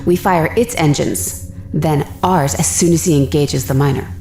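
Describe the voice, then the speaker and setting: An actress playing a determined, anxious young spaceship captain in an audio drama, urgent and thinking aloud. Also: female voice, sits low, normal speed, mellow, reverberant, faint music behind. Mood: urgent planning.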